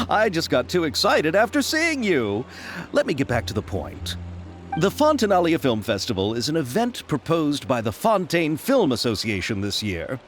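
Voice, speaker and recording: An eager young film producer, courteous and wordy with nervous little laughs. His voice sits a bit high and swings widely in pitch. Soft game music runs beneath him. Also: wildly swooping pitch, normal speed. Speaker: game character. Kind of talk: video game voice acting.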